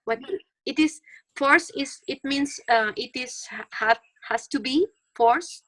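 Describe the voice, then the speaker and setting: Trainee therapist, woman, Mexican accent, hesitant second-language English, bright tone, video call audio. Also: sits high, poor audio. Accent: Mexican Spanish. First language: Spanish. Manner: hesitant, halting English with many fillers.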